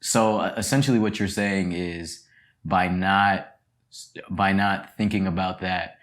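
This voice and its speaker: A young man co-hosting a podcast, thinking aloud in a halting, hesitant way. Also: sits low, steady tone, a bit bright. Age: young adult. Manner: halting, hesitant phrasing with fillers. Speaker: podcast co-host. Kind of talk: casual podcast chat.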